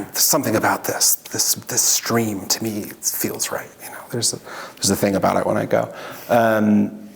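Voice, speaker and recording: A man's voice: fairly low pitch, creaky, darkish tone. A man in an oral history interview, talking casually and rambling with many fillers and restarts. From Washington state.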